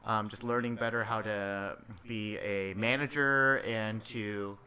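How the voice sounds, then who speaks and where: A man in his late twenties, a guest in a casual chat, easygoing and soft-spoken, talking close to a desk mic. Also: fairly low pitch, steady tone, takes it slowly, smooth. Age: late 20s.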